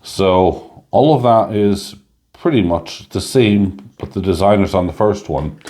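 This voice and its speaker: A man chatting casually through a coin review, rambling with lots of fillers and a slightly hoarse voice. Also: on the low side, animated, takes it slowly, dark tone.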